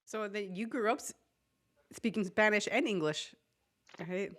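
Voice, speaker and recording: A woman hosting a podcast interview, friendly and quick to laugh as she asks follow-up questions. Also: medium pitch, a bit bright. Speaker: podcast host. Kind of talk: casual interview chat.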